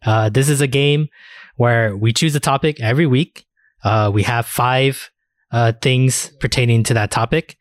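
A man's voice: mid-range voice, fairly even intonation, normal speed, no echo. Casual male podcast host, dry close sound. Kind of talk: casual chat.

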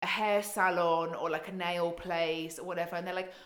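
A British woman co-hosting a podcast, chatting casually in a blunt, sweary and animated way. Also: lowish voice, normal speed. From Britain. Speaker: podcast co-host.

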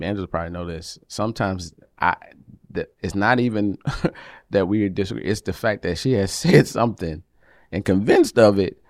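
A man with a deep voice that carries, co-hosting a casual chat with his wife, animated and getting louder when excited. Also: no echo, studio quality. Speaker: podcast co-host. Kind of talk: casual married-couple chat.

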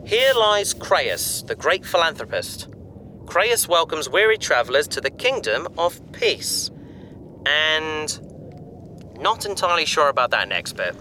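An eager, bookish explorer in a sci-fi audio drama. His voice is very bright and fairly high, with a chatty, explanatory delivery. A faint background noise sits behind him. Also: very animated, unhurried, exaggerated voice. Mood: puzzled, thinking. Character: male bookish, eager space adventurer and explorer.

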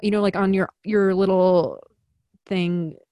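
A woman's voice: medium pitch, fairly even intonation, measured pace, dark tone, no echo, studio quality. Chatty woman with a Chicago accent. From US Midwest. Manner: chatty, giggly, self-deprecating. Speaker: actor and filmmaker, guest. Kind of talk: casual podcast interview.

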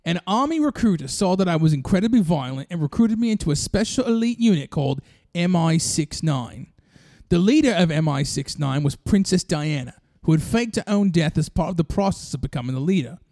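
Male co-host, high voice, dry close mic, breezy mock-earnest improv narration. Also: lively intonation, a little fast, darkish tone, no room sound. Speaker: podcast co-host. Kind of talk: improvised comic storytelling.

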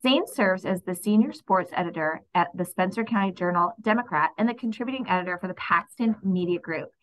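A woman's voice: on the low side, fairly quick, rough recording. American woman host reading an introduction. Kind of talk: reading a guest bio.

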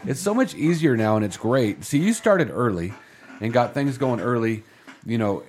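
Brief male radio host, middle pitch, easygoing and joking, studio interview. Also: big pitch swings, moderate pace, a little mellow, slight background noise. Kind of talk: casual interview chat.

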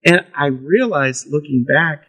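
A man's voice: fairly high pitch, unhurried, fairly bright tone, husky. A pastor, a man, telling candid stories about his preaching in a self-deprecating way, laughing easily. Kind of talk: candid conversational storytelling.

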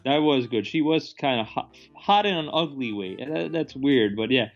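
A man's voice: mid-range voice, expressive, normal speed, creaky, soft background music. Man chatting casually, slightly dull audio. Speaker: podcast co-host. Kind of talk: casual film discussion.

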